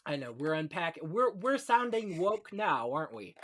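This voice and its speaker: A man's voice: fairly high pitch, sing-song, normal speed, fairly bright tone. Chatty man hosting a casual podcast. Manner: chatty, punctuates talk with "you know" asides. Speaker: podcast host. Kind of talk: casual chat about classic film.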